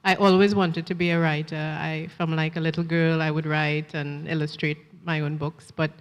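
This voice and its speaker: Woman, author, reflective, somewhat low voice, long reverb. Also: little pitch movement, normal speed, clean tone, highs cut off. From Trinidad. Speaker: author on a panel. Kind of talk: festival panel conversation. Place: festival event hall.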